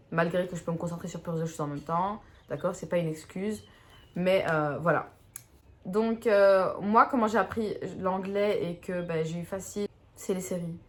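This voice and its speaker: Young girl rambling to camera, lots of giggles, pokes fun at herself. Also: fairly low pitch. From Belgium. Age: young adult. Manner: chatty, giggly, self-mocking. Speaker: YouTuber. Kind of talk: casual chat to camera.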